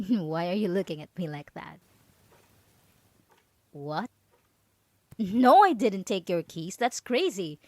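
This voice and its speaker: A woman's voice: fairly high pitch, no echo. A woman playing a possessive, overprotective roommate in an ASMR roleplay, stammering nervously as she pleads and coaxes. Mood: defensive. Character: possessive, overprotective yandere roommate, clingy and manipulative.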